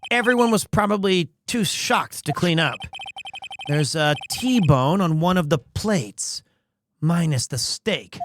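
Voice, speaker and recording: A man's voice: a little high, animated, takes it slowly, slight background noise. A man playing a wry, exasperated young lawyer. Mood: observant. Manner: wry, matter-of-fact. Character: exasperated, dry-witted young male defense lawyer. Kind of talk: video game dialogue and inner monologue read aloud.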